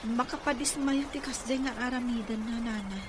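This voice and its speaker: A woman's voice: on the high side, normal speed, lightly acted. A woman playing an earnest, loyal young sweetheart in an Ilocano audio drama. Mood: aggrieved. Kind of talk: Ilocano radio-style drama acting. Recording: clearly noisy background.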